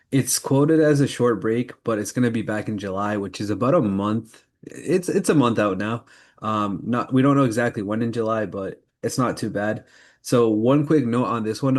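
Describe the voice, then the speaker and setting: Low-voiced man co-hosting a podcast. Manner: informal, leads the segments. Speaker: podcast co-host. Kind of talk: casual news roundup chat about anime.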